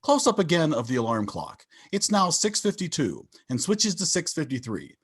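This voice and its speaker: Male narrator, raspy-edged, highly varied intonation, screenplay stage directions, table read. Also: wildly swooping pitch, moderate pace. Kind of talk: reading stage directions aloud. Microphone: video call.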